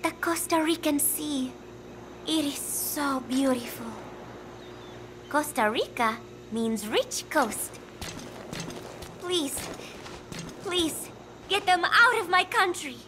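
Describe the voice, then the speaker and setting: An actress playing a frail, confused young woman in a video game, haunted and gentle, with halting, breathy speech that often drops toward a whisper. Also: high-pitched, expressive, background noise. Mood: wistful, then pleading. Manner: halting, hesitant, fragmented phrases. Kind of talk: dramatic video game cutscene acting.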